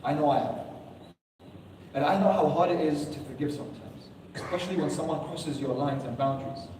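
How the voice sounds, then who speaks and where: A man's voice: fairly high pitch, a little fast, dark tone, slight background noise. A man giving a sermon to a room of listeners, earnest and full of rhetorical questions, now and then reciting Arabic verses. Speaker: preacher. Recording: echoey hall, distant mic.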